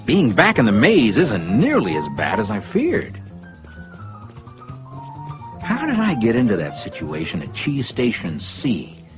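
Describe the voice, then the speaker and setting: A man voicing an upbeat, adventurous little cartoon character, encouraging and expressive, with music behind him. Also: on the low side, a little slow, long pauses. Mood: reflective, hopeful.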